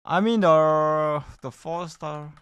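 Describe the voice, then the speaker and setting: A man chatting casually as he hosts a game livestream, halting and repeating himself, with loose non-native English phrasing. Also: fairly high pitch, a bit bright, clean tone. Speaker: livestreamer hosting a game stream.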